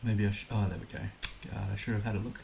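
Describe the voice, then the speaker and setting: Male game streamer, hoarse and gravelly, unhurried thinking-out-loud delivery, faint hiss on the track. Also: lowish voice, fairly even intonation. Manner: musing, hesitant, thinking aloud. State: hoarse, recovering from being under the weather. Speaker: livestream host playing a geography guessing game. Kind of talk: casual live game commentary. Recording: noticeable background hiss.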